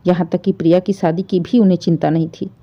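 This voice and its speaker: A woman's voice: on the low side, little pitch movement, quick pace, dull audio. A woman narrating an emotional family story in a storyteller's style.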